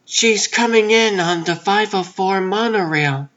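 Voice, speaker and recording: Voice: mid-range voice, measured pace, a bit bright, lo-fi sound, close to an everyday voice. A performer playing a wry, pushy woman newspaper reporter in a comic dub.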